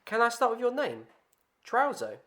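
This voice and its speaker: A woman's voice: fairly high pitch, huge pitch swings, normal speed, crowd noise. A woman acting as a polite, formal radio interviewer in an amateur radio play. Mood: polite, dry.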